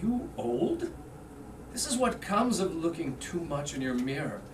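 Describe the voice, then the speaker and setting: A woman's voice: deep voice, sing-song, a little slow. A woman playing a devoted husband home from war in staged reader's theater, earnest and romantic. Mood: adoring. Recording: roomy, distant mic, faint noise.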